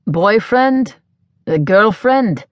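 A woman voicing a brash, flirtatious man who speaks slow, halting broken English. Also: medium pitch, expressive, dry recording, pitched above the performer's own voice. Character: brash flirtatious Italian man, self-assured.

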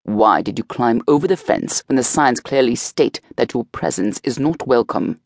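A woman's voice: rumbling low, lively intonation, moderate pace, creaky, darkish tone, no room sound, studio quality, full character voice. A woman voicing a stern, dignified man in an audiobook, speaking formally. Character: stern proud Czech man, dark creature. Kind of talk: audiobook dialogue.

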